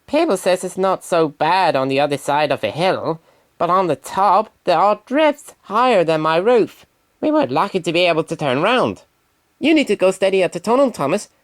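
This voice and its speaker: Man as a chatty, high-voiced cautioning bus. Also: expressive, normal speed. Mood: concerned. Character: chatty male bus, cautioning, matter-of-fact.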